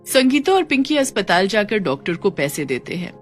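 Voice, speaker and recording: A woman's voice: medium pitch, very animated, normal speed, soft background music. A woman reading scripted narration for a moral story.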